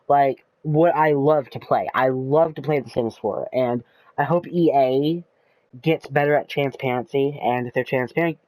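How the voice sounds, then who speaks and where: A man's voice: mid-range voice, no echo, poor audio. Rambling man with a dry close sound. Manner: rambling, lots of ums and uhs, excitable; casual swearing. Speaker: gaming YouTuber. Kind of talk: casual rambling game commentary.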